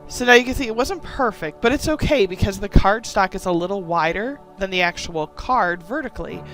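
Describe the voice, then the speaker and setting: A hoarse woman from Wisconsin hosting a casual card-making tutorial, talking with gushing enthusiasm. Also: mid-range voice. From Wisconsin, USA. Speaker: craft channel host.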